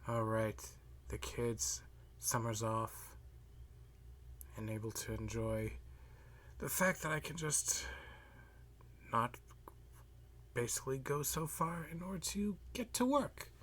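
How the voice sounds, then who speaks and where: A man playing a weary, jealous math teacher and best friend in a roleplay monologue, halting and muttering with a breathy voice. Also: mid-range voice, a bit bright. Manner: halting, muttering, frequent sighs. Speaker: audio roleplay performer. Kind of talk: slow roleplay monologue to the listener.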